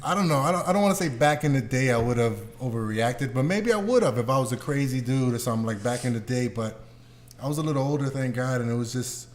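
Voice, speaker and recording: A man's voice: mid-range voice, lively intonation, fast. A man chatting casually as a podcast co-host, joking and slangy in a conversational way. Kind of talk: casual chat.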